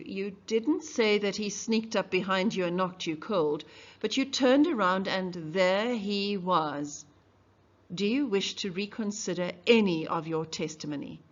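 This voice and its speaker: Lady reading calm lawyer guy, patient questions, middle pitch, muffled audio. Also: close to an everyday voice. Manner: patient, probing, measured questioning. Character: calm, steady middle-aged male defense lawyer. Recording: dull lo-fi recording.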